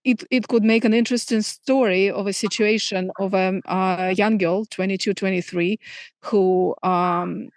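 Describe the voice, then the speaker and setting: A woman's voice: mid-range voice, steady tone, unhurried, dry recording. Russian-accented middle-aged woman, dry sound. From Moscow, Russia. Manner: thoughtful, many fillers and restarts. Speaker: filmmaker guest. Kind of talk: conversational interview answers.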